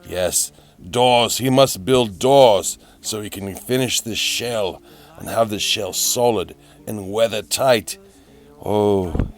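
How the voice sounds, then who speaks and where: A man giving a melodramatic, whimsical storybook-style narration of a DIY build, repeating phrases for effect, in a gravelly voice. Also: fairly low pitch. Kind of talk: theatrical storybook-like narration of a hobby build video. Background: music.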